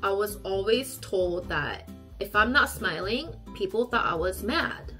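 A woman, talking to the camera in a chatty, expressive way from a small bedroom. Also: a little slow, faint music behind. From South Korea. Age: 30s. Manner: chatty and expressive, acts out quoted people in a high voice. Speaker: YouTube vlogger. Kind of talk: casual talk to camera.

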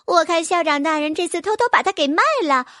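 A woman's voice: extremely high pitch, fast talker, a bit bright, no echo, pitched above the performer's own voice. Woman doing a giggly little fairy. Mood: teasing. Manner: giggly, teasing. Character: playful mischievous little fairy girl.

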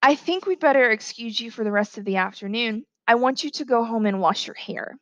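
A woman's voice: on the high side, a little fast, a bit bright, no room sound, muffled recording, close to an everyday voice. A woman voicing a polite, proper female schoolteacher who is easily flustered, for a story reading. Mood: polite, instructive.

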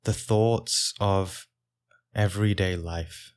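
A man leading a guided meditation as a teacher, speaking calmly in short phrases with long pauses. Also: low-pitched, clear, resonant tone.

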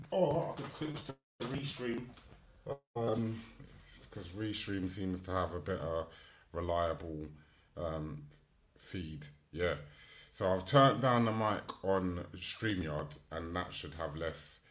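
A man's voice: low voice, fairly bright tone. A man hosting a live online news and chat show, talking casually and thinking aloud as he goes. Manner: informal, unscripted, thinking aloud. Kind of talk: casual live stream chat and article reading.

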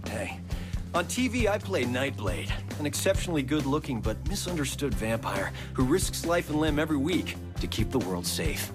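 Guy voicing a smug vain tv star doing a recruitment ad, lively pitch, music behind. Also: moderate pace, lightly acted. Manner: smug, promotional. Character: vain male TV actor, smarmy.

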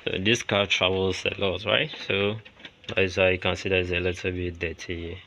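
A man's voice: sits low, little pitch movement, moderate pace. A Ghanaian-accented man giving an informal hands-on mechanic's tutorial, often checking in with "right?" and posing questions he answers himself. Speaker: mechanic giving car tips. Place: outdoors at an open car bonnet. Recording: loud engine and vehicle noise.

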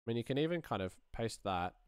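A man's voice: medium pitch, expressive, quick pace, smooth. A man in his thirties giving casual sound design commentary, musing aloud as he works. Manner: musing, thinking aloud while working. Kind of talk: casual screen-share commentary.

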